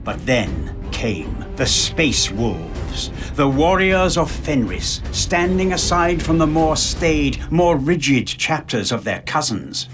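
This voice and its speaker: Music plays behind a grave, knowing chronicler of a far-future war. His voice sits slightly high for a man, and he delivers the scripted game narration in a measured, portentous way. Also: very animated. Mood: ominous.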